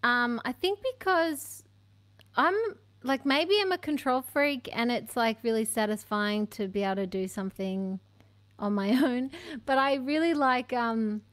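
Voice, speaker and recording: Australian woman, high voice, slow easy talk, chatty, laughs a lot, studio mic at home. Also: fairly bright tone. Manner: chatty, self-deprecating, laughs often. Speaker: singer-songwriter guest. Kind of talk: casual interview chat. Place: home room lined with bookshelves. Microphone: studio mic on stand, headphones on.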